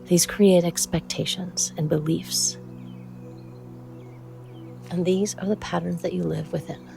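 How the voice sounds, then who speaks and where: A meditation guide speaking in a soothing, measured way with frequent pauses and a soft, at times breathy voice. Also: mid-range voice, steady tone, soft background music.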